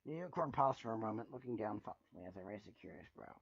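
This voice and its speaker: A man with a husky voice reading a first-person story aloud as dramatic narration. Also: lowish voice, lively intonation, fast. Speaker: fan fiction reader. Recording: muffled, roomy home recording.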